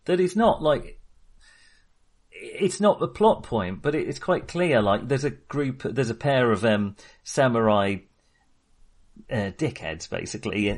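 A man talking casually and informally about films as a podcast co-host, with a chatty, mildly sweary manner. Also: medium pitch. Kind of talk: casual film discussion.

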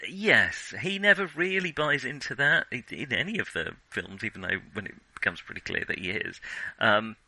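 A man's voice: medium pitch, animated, normal speed, sharp tone, no room sound, poor audio. A man co-hosting a casual film discussion, thinking aloud in a hesitant way. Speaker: podcast co-host.

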